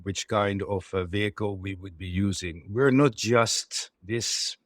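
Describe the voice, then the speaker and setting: A man's voice: fairly low pitch, no room sound. A middle-aged man from the Netherlands with a Dutch accent, a company co-founder answering podcast questions and often repeating words as he restarts phrases.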